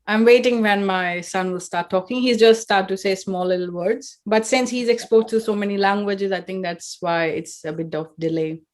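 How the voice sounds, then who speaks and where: A woman's voice: mid-range voice, fairly even intonation, normal speed, few pauses. A woman hosting a casual interview, friendly and agreeable in simple phrasing. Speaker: host.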